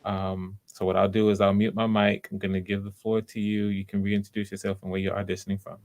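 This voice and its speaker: A man's voice: fairly low pitch, level tone, fast talker, a little mellow. A man hosting live auditions casually, coaching in an encouraging way and often repeating his words. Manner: encouraging, hesitant repetitions. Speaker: audition host.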